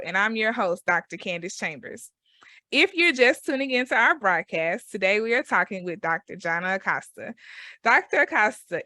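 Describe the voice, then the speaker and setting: A woman's voice: mid-range voice, pushed and bright, no echo, clean studio sound. A woman hosting a radio interview show, welcoming a guest and asking open questions in an upbeat way.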